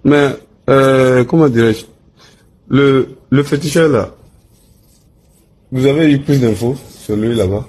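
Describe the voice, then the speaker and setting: A man's voice: mid-range voice, slow pace, pauses often, a little mellow. A man with a West African French accent asking questions hesitantly in an informal phone conversation. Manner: hesitant, murmuring acknowledgements. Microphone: telephone.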